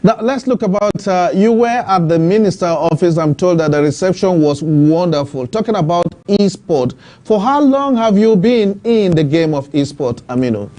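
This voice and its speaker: A man's voice: high voice, expressive, normal speed, few pauses, dark tone. A man hosting a studio TV interview, asking formal, leading questions with fillers. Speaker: TV talk show host.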